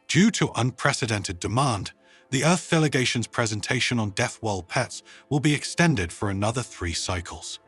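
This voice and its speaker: Male reader as alien event announcer, official, formal, booming, slightly husky, music behind, sci-fi story. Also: animated, moderate pace, fairly bright tone.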